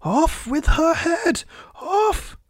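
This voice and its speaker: A performer voicing a shrill, loud, furious queen who barks orders imperiously in a storybook reading. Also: animated, a little slow, no room sound, studio quality, lightly acted. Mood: screaming fury.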